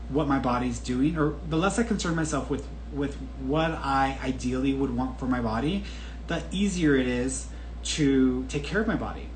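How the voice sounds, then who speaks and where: A man in his thirties, a podcast host answering a viewer's question in a casual, candid, chatty way. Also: on the high side. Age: 30s. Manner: chatty and candid, with frequent restarts and laughs. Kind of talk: casual solo talk. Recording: echoey room with faint background noise.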